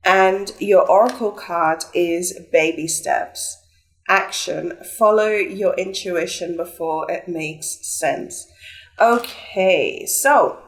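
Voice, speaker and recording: Chatty woman tarot reader, a bit stuffy. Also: mid-range voice. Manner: chatty, upbeat, with fillers. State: stuffy from a flu. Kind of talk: pick-a-card tarot reading.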